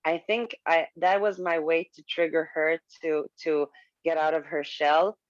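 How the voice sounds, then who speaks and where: A woman's voice: low-pitched, steady tone, dry recording. Candid woman, dull dry audio. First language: Swedish. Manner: candid, halting with frequent restarts and laughs. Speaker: guest. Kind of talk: casual video-call conversation.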